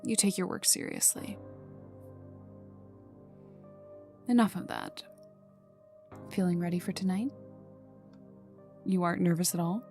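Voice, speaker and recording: A woman voicing a cocky, teasing, gruffly protective young villain enforcer in an intimate ASMR roleplay, with a casual drawl that drops into whispers. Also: lowish voice, normal speed, a little mellow, natural voice. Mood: teasing reassurance. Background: ambient music.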